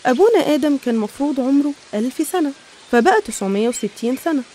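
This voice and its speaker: A woman's voice: high-pitched, lively intonation, quick pace, dark tone, a little hiss or hum. A woman with an Egyptian Arabic accent narrating religious stories in a conversational, explanatory way. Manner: explanatory, storytelling.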